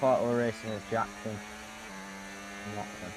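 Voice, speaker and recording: A man's voice: on the low side, flat delivery, normal speed. A man casually commentating as he plays a racing game and gives it voice commands. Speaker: gamer playing a racing video game. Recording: noisy mic with hum.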